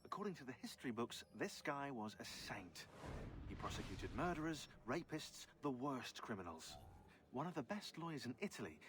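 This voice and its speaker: An actor playing a wry modern researcher who delivers a conversational, sardonic briefing in a video game. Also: male voice, medium pitch, a bit bright, slight background noise. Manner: sardonic, informal. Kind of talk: conversational scripted narration in a game.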